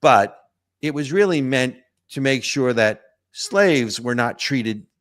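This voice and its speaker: A man's voice: mid-range voice, lively intonation, takes it slowly, fairly bright tone, no echo, clean studio sound. A man hosting a casual political talk show, rambling with frequent restarts and asking "right?" and "you know". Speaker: host.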